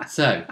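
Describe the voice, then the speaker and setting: A man's voice: bright, forceful tone, a little hiss or hum. A man chatting casually and narrating as game master of a tabletop role-playing game. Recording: roomy, echoing room sound.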